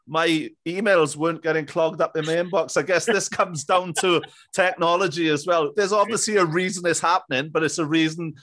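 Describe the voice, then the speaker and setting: A man's voice: fairly high pitch, steady tone, fairly quick, hardly any pauses, a bit bright. A man in his thirties hosting a casual interview in an easygoing, chuckly way. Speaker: podcast host, interviewer. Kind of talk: casual chat. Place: small indoor room, video call. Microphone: boom-arm microphone with headphones.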